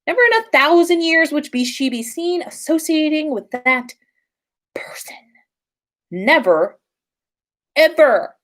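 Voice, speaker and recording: Slow, very expressive woman storyteller. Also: high voice. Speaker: podcast host reading fan fiction.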